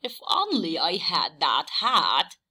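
A woman voicing an eager, choosy male horse in a children's storybook, with drawn-out, expressive delivery. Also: lowish voice, breathy edge, full character voice. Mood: wistful.

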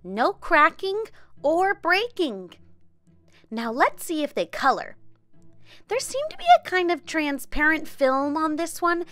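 A woman in her thirties hosting a children's craft show, narrating an experiment in an expressive, child-friendly way. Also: high voice, slow pace, no echo. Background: background music.

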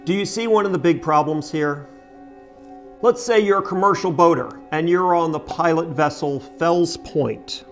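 Middle-aged American male vlogger, slightly high voice, emphatic explainer, music behind. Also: moderate pace, fairly bright tone. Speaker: boating video host. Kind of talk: explanatory talk to camera. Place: home kitchen, indoors.

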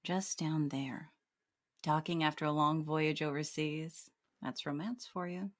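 Low-voiced woman playing a tough police detective. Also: steady tone, unhurried. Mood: wistful. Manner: terse, hard-boiled, wry. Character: tough, guarded female police detective, tall. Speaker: actress. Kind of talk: remake of 1940s radio detective drama.

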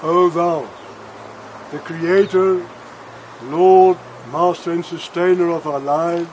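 An older man leads a wedding prayer slowly and solemnly. His pitch sits slightly high. Faint outdoor noise sits behind him. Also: fairly even intonation, a little mellow, rough recording. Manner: solemn, measured. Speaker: officiant. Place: outdoor wedding under trees.

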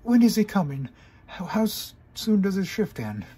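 A man's voice: mid-range voice, very animated, moderate pace, a little mellow, breathy edge. A man voicing a proud, despairing young female pony magician who speaks of herself in the third person. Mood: distraught.

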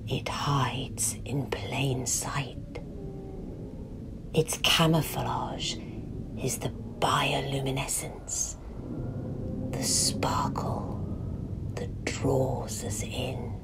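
A woman playing a weary, wry, anxious young boy's mother in an intimate audio-play monologue, confiding and at times dropping into breathy hushed tones. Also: very deep voice, steady tone, drawn-out words, long pauses, in a whisper, exaggerated voice. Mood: hushed, eerie.

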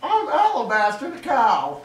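A man voicing an eager, loud, foul-mouthed cow scientist in an amateur comedy puppet show. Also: extremely high pitch, wildly swooping pitch, unhurried, a little hiss or hum, exaggerated voice. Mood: chipper. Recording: distant camera mic in echoey room.

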